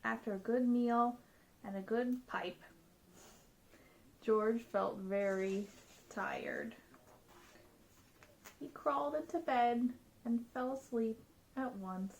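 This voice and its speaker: High-voiced woman reading a storybook aloud. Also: slow, long pauses, a little mellow, poor audio. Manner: dramatic, varied delivery for young children. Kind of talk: expressive read-aloud of a children's story.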